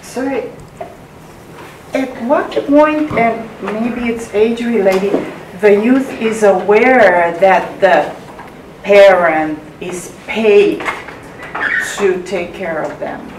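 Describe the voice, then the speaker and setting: A woman asks a question from the audience, far from the microphone. Her speech is extremely slow, and the room recording is echoey. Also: medium pitch. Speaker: audience member asking a question. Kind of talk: spontaneous question. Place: lecture room, far from the mic. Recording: distant, echoey, noisy room pickup.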